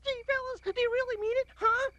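A woman's voice: very high voice, steady tone, brisk, dull audio, a loud crowd. A woman voicing an eager, playful little male puppy in a cartoon, innocent and pleading. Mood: hopeful. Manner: bubbly, pleading. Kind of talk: cartoon voice acting.

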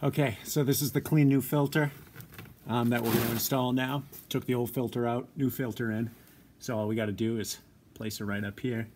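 A young man, a science teacher, explaining car maintenance to his students in a relaxed, chatty way with plenty of ums, filmed on a phone in his home garage. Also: medium pitch, moderate pace, a little hiss or hum. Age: young adult. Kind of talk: casual how-to lesson video. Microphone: phone camera held close.

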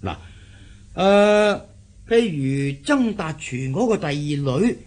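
Slow, husky older master of the house. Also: male voice, fairly high pitch, lively intonation, darkish tone. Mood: resigned, reasoning. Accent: Hong Kong Cantonese. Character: resigned, unhurried older husband, master of the household. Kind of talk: Cantonese radio period drama acting.

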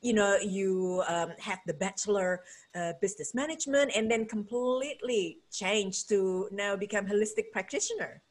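A woman's voice: medium pitch, moderate pace. A woman from Indonesia hosting an interview over a video call from home, speaking hesitantly with many fillers. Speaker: host, relationship coach. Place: home room. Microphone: video call, laptop camera.

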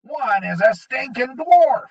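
A man reading an audiobook, voicing a sneering, bullying male goblin gang leader with high, snarling taunts. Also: big pitch swings, slow, exaggerated voice. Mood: menacing. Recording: dull lo-fi recording.

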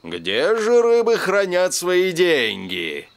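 A man voicing a pompous, jovial, slow-witted grown-up in a cartoon dub, with a stately, drawn-out delivery. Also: high-pitched, wildly swooping pitch, full character voice. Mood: dawning delight.

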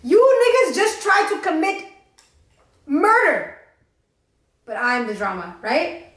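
A young woman co-hosting a podcast, telling a story fast and with lots of swearing while doing her makeup on a couch. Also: high-pitched, roomy sound. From Tennessee. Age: young adult. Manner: fast, profane, animated storytelling. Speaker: podcast co-host. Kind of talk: casual storytime chat. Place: living room, on a green couch.

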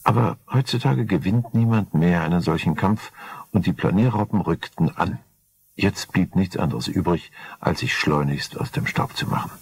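Deep husky older male narrator. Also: moderate pace. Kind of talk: scripted crime story narration. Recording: 1980 vinyl record transfer.

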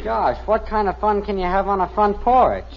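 Lovestruck teen boy, highish voice. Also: animated, moderate pace. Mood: pleading. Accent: American. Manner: gushing, naive earnestness. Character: lovestruck, earnest fifteen-year-old boy, the son. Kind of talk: 1950s radio sitcom acting. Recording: muffled old radio broadcast, faint hiss.

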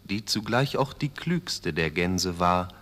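A man narrating a children's fairy tale in an expressive scripted style, with deliberate, dramatic phrasing. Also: deep voice.

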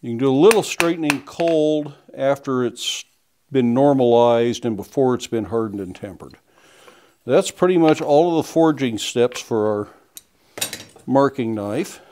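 A man's voice: medium pitch, a little mellow. Middle-aged man explaining forge steps. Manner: plain, unhurried step-by-step explaining. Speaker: blacksmith instructor. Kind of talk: hands-on demonstration. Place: small workshop forge, indoors.

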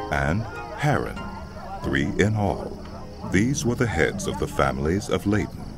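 A man with an extremely deep, creaky voice narrates scripture. His delivery is slow, measured and solemn, yet his pitch moves very widely. It is scripted audio drama narration with music behind it.

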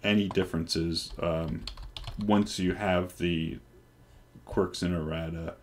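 A man in his forties on a headset mic, casually talking through a software install with many ums and restarts. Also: deep voice, fairly even intonation, takes it slowly, slight background noise. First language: English. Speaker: developer presenting hardware. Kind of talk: casual screen-recorded tech demo. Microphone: headset microphone.

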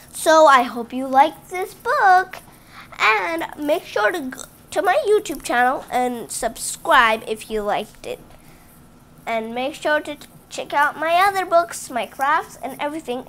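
Female read-aloud host, high and bouncy pitch, unhurried, halting reading with chatty asides; phone mic over a tabletop at home. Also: sharp tone. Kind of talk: reading a picture book aloud to children. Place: indoors at home, book on a table.

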